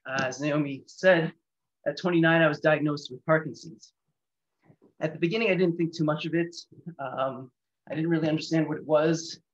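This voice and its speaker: A man's voice: a little high, fairly even intonation, moderate pace. A Canadian man in his thirties giving an open, reflective seminar presentation in a conversational way over a video call. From Canada, near Toronto. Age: 30s. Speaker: guest speaker, patient advocate. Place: small room indoors, on a video call. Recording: dull lo-fi video call audio.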